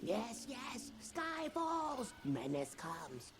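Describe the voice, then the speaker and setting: A woman's voice: a little high, sing-song, very slow, background noise, exaggerated voice. A woman voicing a small, proud forest creature in a video game, a quaint trader with pompous, broken, theatrical speech. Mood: self-important. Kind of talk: video game character dialogue. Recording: game audio with loud background ambience.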